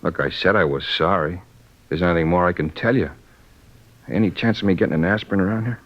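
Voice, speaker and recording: A man's voice: deep voice, fast talker. An actor playing a weary, defensive married man held as a suspect in a 1950s radio drama, grumbling in a sullen, colloquial way. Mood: worried, weary. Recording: dull vintage radio recording.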